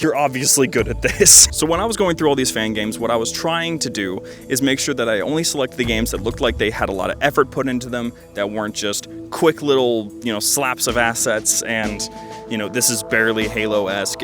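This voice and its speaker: A man in his twenties giving casual, rambling game commentary as a video host, enthusiastic and full of jokey asides. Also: medium pitch. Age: 20s. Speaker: video host reviewing fan games.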